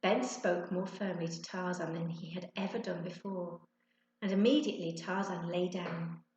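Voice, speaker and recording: A woman's voice: fairly low pitch, steady tone, moderate pace, darkish tone, lo-fi sound, slight background noise. A woman narrating a children's storybook expressively, like a teacher reading to her class. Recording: echoey, roomy sound.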